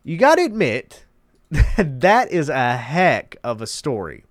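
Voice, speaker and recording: A man's voice: on the high side, very animated, slow pace, no echo, studio quality. A man hosting a casual gaming commentary, congested and emphatic, repeating words for emphasis. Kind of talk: casual commentary reading articles.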